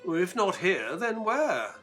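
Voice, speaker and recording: A man playing an earnest, excitable Victorian gentleman, a detective's companion and narrator, in an audio drama. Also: high voice, unhurried, faint music behind, full character voice. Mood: puzzled.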